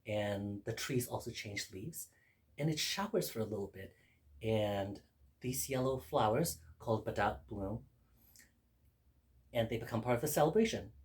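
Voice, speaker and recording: A man from Burma hosting guests at his home, casually explaining a festival with a lively storytelling manner. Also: mid-range voice. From Mandalay, Burma. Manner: lively storyteller, laughs while telling. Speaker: host. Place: home in Brooklyn.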